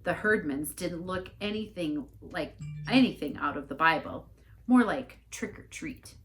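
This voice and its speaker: A woman reading a storybook aloud as an expressive narrator. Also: lowish voice, measured pace, soft background music. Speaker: story reader, church staff member.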